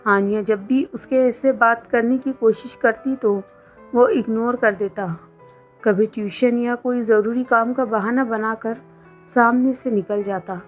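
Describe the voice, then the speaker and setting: A woman's voice: fairly high pitch, steady tone, measured pace. A woman reading a scripted romantic drama story as a storyteller. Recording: dull lo-fi sound under music.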